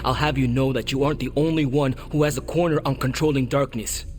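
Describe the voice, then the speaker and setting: An actor playing a hot-headed, defensive young superhero in a video game cutscene. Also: male voice, medium pitch, steady tone, fast talker, a little mellow, soft background music, natural voice. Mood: defiant.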